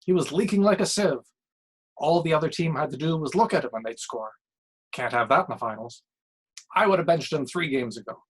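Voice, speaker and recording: A man performs a blustery former hockey coach in the TV booth for an audio short story. He rants in short, slangy, emphatic sentences, full of strong opinions, with a clearly pushed commentator's delivery. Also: a little high, animated, brisk. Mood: scornful, worked up. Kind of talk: acted sports commentary in a short story reading.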